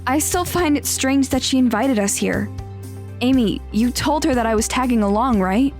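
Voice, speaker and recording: A woman's voice: on the high side, expressive, moderate pace, a little mellow, faint music behind. A woman playing a brash, pushy and huffy teenage schoolgirl in an audio drama. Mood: skeptical.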